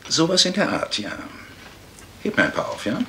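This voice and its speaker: A man playing a breezy, slightly tired young gentleman of a manor in a radio mystery, casual and teasing. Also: mid-range voice, huge pitch swings, moderate pace. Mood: light, teasing. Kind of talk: German radio mystery play acting.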